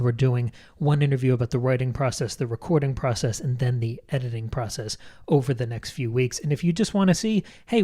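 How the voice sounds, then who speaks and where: A man's voice: medium pitch, mellow. A man co-hosting a podcast in casual chat, joking and informal. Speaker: podcast co-host.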